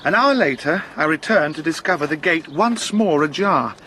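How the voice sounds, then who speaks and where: An actor playing a humble, earnest police sergeant in a radio drama, telling events deferentially and carefully. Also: male voice, a little high, expressive, normal speed, bright, forceful tone, a little hiss or hum, low-quality recording.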